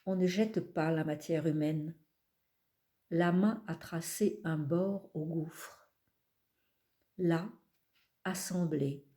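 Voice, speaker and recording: A woman poet reading her own poems aloud in a calm, measured way, pausing between phrases. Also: fairly low pitch. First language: not French. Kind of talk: slow poetry reading.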